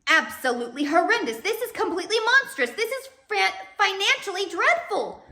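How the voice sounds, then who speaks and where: A woman's voice: extremely high pitch, sing-song, normal speed, bright, forceful tone, echoey room, pitched above the performer's own voice, full character voice. A woman voicing an indignant, flustered banker in a storybook, emphatic and sputtering with outrage. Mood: outraged.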